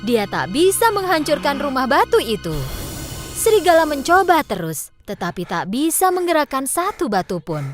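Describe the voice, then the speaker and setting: A woman's voice: sits high, big pitch swings, normal speed, soft background music. A woman narrating a scripted children's story as the storyteller.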